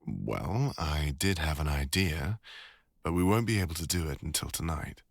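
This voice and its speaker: A man with a deep British voice playing a devoted, teasing older boyfriend and father in an intimate ASMR audio roleplay. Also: lively intonation, moderate pace, creaky, dry recording, clean studio sound, exaggerated voice. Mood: affectionate, gentle.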